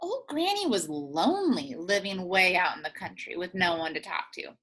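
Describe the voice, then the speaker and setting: Animated, bright-voiced woman reading a storybook. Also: lowish voice, moderate pace, husky. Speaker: teacher reading to children. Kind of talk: animated children's storybook read-aloud.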